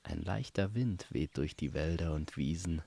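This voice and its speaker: A man telling a scripted fantasy story in a calm, unhurried, soothing way as storyteller and host. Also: very deep voice, gravelly, a little hiss or hum.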